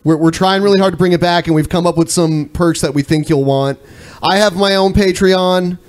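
A man's voice: sits high, fairly even intonation, brisk, a little mellow. A man hosting a live-streamed podcast in casual chat, blunt and often profane. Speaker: podcast host.

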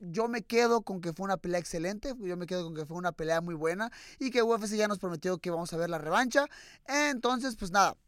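Young Mexican male host, casual and slangy, mid pitch, dry close sound. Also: brisk, a bit bright, no echo. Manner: chatty, slangy, laughs easily. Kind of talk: casual chat.

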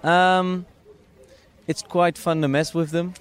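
A man's voice: on the high side, flat delivery, slow. A young man from the Netherlands with a Dutch accent, a guest chatting casually, hesitant with lots of fillers and restarts. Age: young adult. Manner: hesitant, many fillers and restarts, laughs often. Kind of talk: casual interview chat.

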